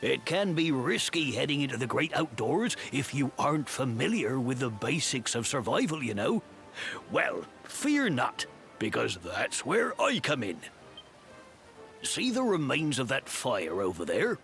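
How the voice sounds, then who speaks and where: A man's voice: mid-range voice, sing-song, measured pace, creaky, fairly bright tone, faint music behind, exaggerated voice. A man playing a hearty, chatty camping enthusiast in a video game, advising adventurers in a folksy, theatrical way. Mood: jolly, instructive. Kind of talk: scripted video game voice acting.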